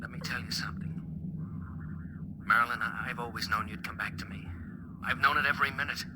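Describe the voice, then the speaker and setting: A man acting an anguished, devoted space commander in a 1950s radio drama, breathy and impassioned over a radio link. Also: medium pitch, fairly even intonation, brisk. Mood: tender anguish. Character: anguished, devoted male space commander, long-lost lover. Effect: distant radio transmission. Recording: noisy vintage radio transmission sound.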